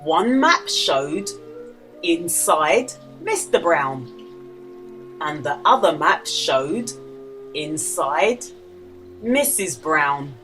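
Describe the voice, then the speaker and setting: A woman reading a children's storybook aloud as a storyteller, with dramatic emphasis and deliberate pauses for young listeners. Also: deep voice, sing-song, a bit bright, soft background music. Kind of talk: expressive children's storybook read-aloud with quiz.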